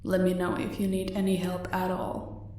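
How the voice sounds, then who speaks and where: A woman's voice: fairly low pitch, monotone, normal speed, a little mellow, distant mic, soft background music, close to an everyday voice. A woman playing a loyal, understated henchwoman torturer in an audio roleplay, terse and deferential to her boss. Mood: deferential, unhurried. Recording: very echoey room, away from the mic.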